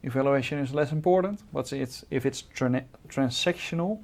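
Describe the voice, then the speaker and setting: A man's voice: mid-range voice, moderate pace, a little mellow. A man co-presenting, giving a conversational technical explanation.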